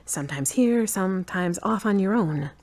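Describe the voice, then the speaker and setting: A woman's voice: mid-range voice, animated, normal speed, darkish tone. A woman playing a tired, frank girlfriend who is fond but uneasy, in earnest, questioning audio drama dialogue.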